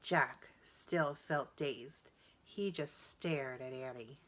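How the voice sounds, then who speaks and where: A woman reading a children's story aloud in an expressive, deliberate way. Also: extremely low pitch. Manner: animated, deliberate, acts out dialogue. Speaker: storybook reader.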